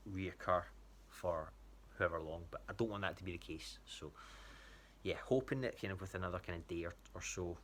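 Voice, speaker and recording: Scottish male, low voice, informal and halting check-in style, noisy recording. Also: fairly bright tone. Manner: hesitant, rambling. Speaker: athlete giving a training check-in to a coach. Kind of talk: casual spoken update.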